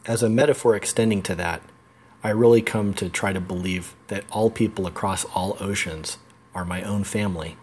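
A man hosting an interview, reading an earnest, measured introduction. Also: deep voice, steady tone, darkish tone, breathy edge. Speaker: podcast host and interviewer. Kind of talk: scripted introduction then casual interview.

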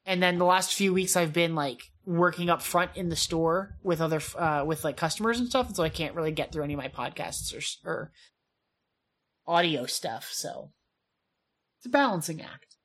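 A young woman co-hosting a podcast, chatting casually in a quick, animated way with lots of asides. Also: lowish voice. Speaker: podcast co-host. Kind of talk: casual chat.

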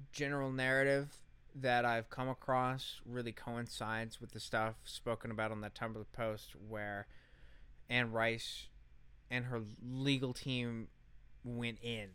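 A man's voice: mid-range voice, fairly bright tone, smooth, dry recording. A man co-hosting a podcast, telling a story in casual commentary with an emphatic, sarcastic manner and casual swearing. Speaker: podcast co-host.

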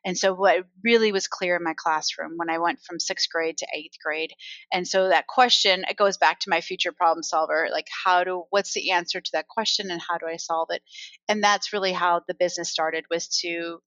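An American woman, a guest author and coach, answering interview questions in a reflective, unhurried way with many fillers. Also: fairly low pitch, steady tone, a bit bright, no echo. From United States.

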